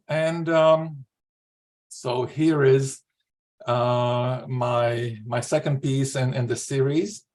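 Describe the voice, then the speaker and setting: Slow-paced man in his sixties. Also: a little high. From Slovakia. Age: 60s. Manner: halting, repeats words, earnest. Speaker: writer reading own short fiction. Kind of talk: informal spoken introduction and author's reading at an online literary event. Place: remote video call.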